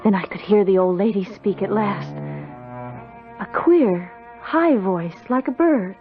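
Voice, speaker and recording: A woman's voice: medium pitch, unhurried, natural voice. An actress playing an earnest young servant girl who tells her tale in a radio drama. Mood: reflective, uneasy. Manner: earnest, storytelling. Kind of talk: 1970s radio drama narration and dialogue. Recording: dull old radio recording. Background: prominent music.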